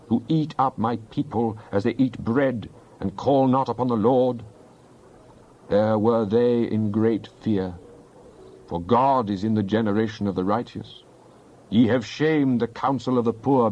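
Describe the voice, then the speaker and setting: A man playing a devout psalmist in a dramatized Bible reading, praying in an earnest, deliberate, declamatory way. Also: medium pitch, a little mellow, highs cut off, lightly acted. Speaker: scripture reader.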